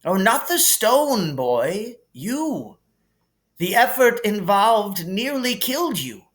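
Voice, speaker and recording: A man voicing a kindly, whimsical elderly wizard headmaster in a storybook reading, with calm, gently formal phrasing. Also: high voice, slow pace, voice pushed up, heavily acted. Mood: earnest. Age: older. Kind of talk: audiobook character reading.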